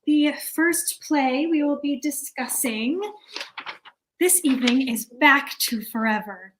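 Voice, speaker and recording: A woman's voice: sits high, slow pace, background noise. A woman acting a prim, officious committee chairwoman with a formal, fussy manner, in an amateur play reading. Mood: businesslike.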